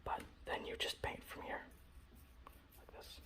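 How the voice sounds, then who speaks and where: A man softly whispering in a casual, rambling ASMR style, breathy and close to the microphone, showing off a hobby. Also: measured pace. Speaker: ASMR video host. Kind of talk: ASMR, informal hobby show-and-tell.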